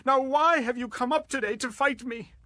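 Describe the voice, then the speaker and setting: An actor playing a proud, forceful Israelite warrior leader, declaiming with passion in a dramatized audio Bible. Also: male voice, very high voice, moderate pace, no echo, studio quality. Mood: indignant. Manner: declamatory, impassioned.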